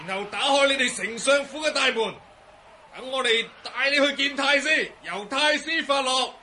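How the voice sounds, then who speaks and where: An actor playing a gloating, menacing Mongol commander in a Cantonese radio drama, shrill and theatrically taunting. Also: male voice, normal speed, pushed and bright, a little hiss or hum, heavily acted. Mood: gloating, threatening.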